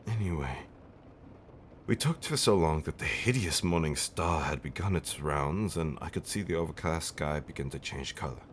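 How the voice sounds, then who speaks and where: A man's voice: extremely low pitch, expressive, moderate pace, slight background noise. A man playing an affectionate, centuries-old vampire husband in an ASMR roleplay, telling stories in a theatrical, teasing, old-fashioned way with dramatic swells and whispers. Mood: wistful, fond.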